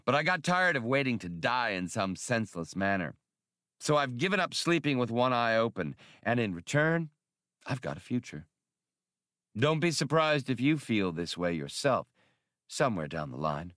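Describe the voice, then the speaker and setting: Guy doing a smooth cool old-hand gunman for an audiobook, polite but in control, dry close recording. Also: medium pitch, big pitch swings, fairly bright tone, dry recording. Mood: amused, worldly. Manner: unhurried, polished, coolly amused. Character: cool, suave, mustached veteran gunman.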